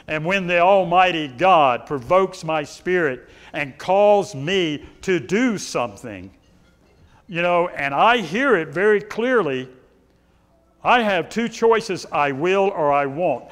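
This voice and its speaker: Middle-aged male pastor, loud carrying voice, high and lively delivery, long church reverb. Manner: animated preaching, pacing and gesturing, rhetorical questions. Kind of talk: sermon. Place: church sanctuary, indoors.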